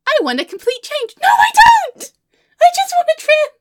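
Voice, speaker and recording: A woman acts out a melodramatic, unreasonable woman in a comedy monologue. Her voice sits extremely high and leaps around in pitch. She swears freely and delivers everything histrionically, veering between sulky mutters and wounded wails. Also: very animated, measured pace, fairly bright tone, a little hiss or hum, heavily acted. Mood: wailing outrage. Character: melodramatic, irrational, foul-mouthed woman outraged by her haircut. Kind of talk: comedic monologue to an unheard friend.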